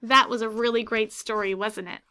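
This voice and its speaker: A woman teacher reading a children's picture book aloud, pausing to ask her listeners questions about the story. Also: on the high side, steady tone, sharp tone, clean tone.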